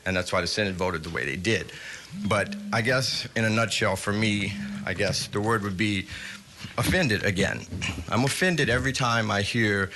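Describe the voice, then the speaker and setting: A middle-aged man giving public testimony at a hearing, indignant and earnest, with halting fillers. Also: lowish voice, noisy background.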